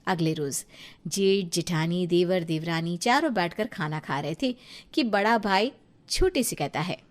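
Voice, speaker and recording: A woman narrating a scripted comic moral story in an animated storytelling style. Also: lowish voice, measured pace.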